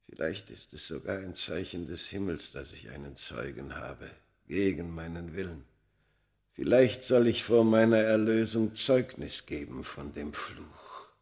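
Gravelly, tormented ancient cursed Egyptian man. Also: low voice. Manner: solemn, theatrical, swinging from hushed to fierce outbursts. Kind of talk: German radio play acting.

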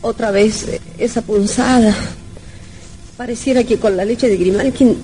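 An actress playing a frail, meek young wife in an old radio drama, faint and in pain. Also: female voice, fairly high pitch, steady tone, measured pace, mellow, natural voice, low-quality recording. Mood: pained, weak. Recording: old radio recording with music behind.

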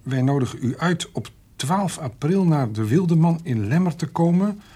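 A man's voice: fairly high pitch, animated, moderate pace, dark tone, slightly rough. An actor playing a stubborn, indignant male farmer in a radio drama, blunt in speech and stammering over repeated words when agitated. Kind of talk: naturalistic radio drama acting.

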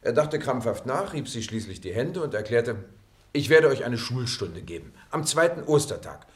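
Casting: male actor as genial middle-aged organiser, medium-pitched, animated, playful raconteur for a radio play. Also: moderate pace, natural voice. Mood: genial, storytelling.

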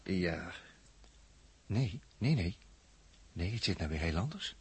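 A captive spaceman with a very husky, lowish voice and a Netherlands Dutch accent. He is shrewd and sure of himself, laying things out with deliberate emphasis. The style is early sixties radio science fiction. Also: expressive, heavily acted. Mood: amused wonder. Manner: deliberate, emphatic, with repeated phrases. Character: Jaap, captive spaceman, shrewd, confident, talkative commentator. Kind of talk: early 1960s Dutch radio drama acting. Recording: vintage 1960s radio recording.

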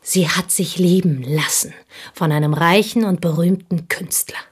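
A low, husky woman's voice in a radio drama. She is an intense, bitter confidante confessing fervently and haltingly, her breathy tone dropping toward whispers. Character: intense, embittered provincial Frenchwoman, confessing devoted confidante. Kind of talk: German radio play acting, dramatic monologue.

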